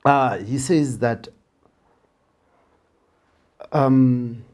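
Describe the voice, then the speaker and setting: A man hosting a conversational interview, haltingly repeating the openings of his phrases. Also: mid-range voice, a little mellow.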